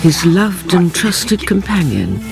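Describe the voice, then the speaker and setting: An actress playing the Count's devoted companion, narrating a radio drama in a grave, formal, theatrical way. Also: female voice, fairly low pitch, big pitch swings, slow, creaky, heavily acted. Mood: solemn. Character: older woman narrator, the Count's devoted companion, solemn and impassioned. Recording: music behind the voice. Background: dramatic music.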